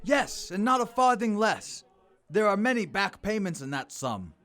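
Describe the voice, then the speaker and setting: A man playing a reformed old miser in an audio drama, giddy, warm and exclamatory, often repeating himself. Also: sits high, very animated, moderate pace. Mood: joyful. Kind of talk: theatrical audio drama acting. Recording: music playing behind the voice.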